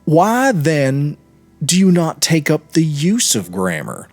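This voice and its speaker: A man's voice: a little high, huge pitch swings, takes it slowly, darkish tone, no room sound, faint music behind, pitched above the performer's own voice, full character voice. A man voicing a proud, bitter Italian army major in an audiobook story, speaking formally and emphatically. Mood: polite. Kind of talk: audiobook character reading.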